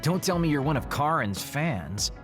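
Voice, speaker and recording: A man's voice: on the high side, big pitch swings, normal speed. A man playing a sly, flippant young spy-assassin in an English game dub, glib and teasing. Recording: music behind the voice.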